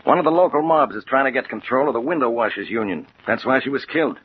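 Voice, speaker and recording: An actor playing a skeptical, hard-boiled police captain, brisk and wry, in a 1940s radio drama. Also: male voice, medium pitch, lively intonation, close to an everyday voice. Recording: dull, noisy 1940s radio recording.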